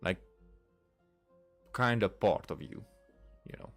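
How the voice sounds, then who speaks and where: A man's voice: fairly low pitch, lively intonation, slow pace, vocal fry, fairly bright tone, faint music behind. A man hosting a casual game playthrough, commentating and reading dialogue aloud in a hesitant, stumbling way. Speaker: gaming video host.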